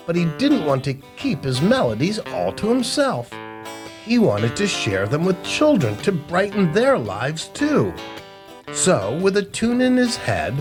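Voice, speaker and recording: A man reads a picture book to children with a swooping, animated delivery. He takes his time and stretches key words for emphasis, while guitar music plays clearly behind him. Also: sits high. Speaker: children's storytime host.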